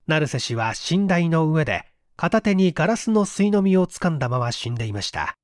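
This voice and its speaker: A man narrating a mystery story as an audiobook reader, in an expressive, measured storytelling manner on a close microphone. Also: fairly high pitch, dry recording. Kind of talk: scripted literary narration, mystery story reading.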